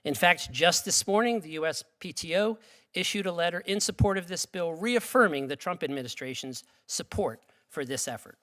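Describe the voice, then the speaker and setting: A man's voice: on the high side, expressive, moderate pace, a bit bright. A man reading prepared testimony in a formal, emphatic way. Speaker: witness at congressional hearing. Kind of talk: prepared testimony read aloud. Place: congressional hearing room.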